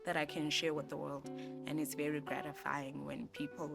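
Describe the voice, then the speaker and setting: A South African woman in her twenties, talking in a soft, reflective way with many fillers and restarts during an interview. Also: low voice, flat delivery, moderate pace, creaky. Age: 20s. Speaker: interviewee, graphic designer. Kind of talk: relaxed personal interview. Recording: music bed playing behind voice.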